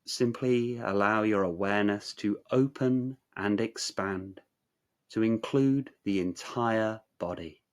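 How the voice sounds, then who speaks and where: A man narrating a guided meditation in a measured, soothing, instructional way, leaving long pauses. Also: fairly low pitch.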